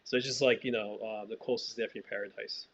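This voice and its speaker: A man from Haiti, a filmmaker, answering interview questions in a relaxed, rambling way with many fillers and restarts. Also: lowish voice, fast, highs cut off. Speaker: filmmaker guest.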